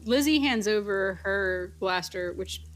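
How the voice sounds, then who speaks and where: A woman with a medium-pitched voice talks casually as a player in a tabletop roleplaying session. She moves between table talk and speaking for her character. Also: a little slow. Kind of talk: casual in-character game chat.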